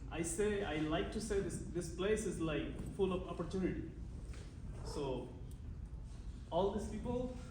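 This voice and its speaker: A man's voice: high voice, lively intonation, unhurried, noisy background. A man speaking informally and hesitantly to a community gathering, with many restarts and fillers. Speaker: guest speaker. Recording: echoey hall from far off, loud chatter.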